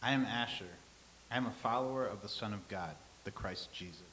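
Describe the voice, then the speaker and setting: A man in a staged reading playing a humble, earnest follower of Christ from Nazareth, modest and measured. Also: fairly low pitch, pushed and bright. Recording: hissy, clearly noisy recording.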